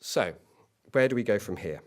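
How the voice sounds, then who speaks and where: A man with a fairly low voice delivers a business pitch to a panel. He speaks earnestly and often stumbles into restarts and repeated words as he explains his company's work. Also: lively intonation, moderate pace. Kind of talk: competition pitch. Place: event hall.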